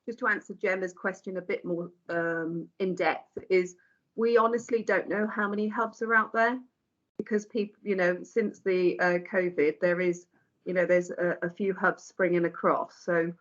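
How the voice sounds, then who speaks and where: A woman from Wales asking questions on a work video call, speaking in a halting way with frequent restarts. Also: fairly low pitch, steady tone. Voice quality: hesitant, halting speech with restarts. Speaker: meeting attendee asking questions. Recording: dull lo-fi video call audio.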